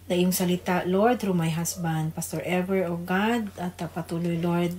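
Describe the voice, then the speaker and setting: A woman's voice: lowish voice, steady tone, moderate pace, darkish tone, slight background noise. A woman with a Filipino accent co-hosting a devotional radio program, reading scripture and praying aloud. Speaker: co-host, pastor's wife leading scripture and prayer. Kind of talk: scripture reading and extempore prayer.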